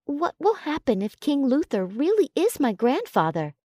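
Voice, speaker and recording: A woman voicing a shy young woman in an audiobook, asking soft, hesitant questions. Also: high voice, wildly swooping pitch, moderate pace, dry recording, clean studio sound, voice pushed up. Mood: timid.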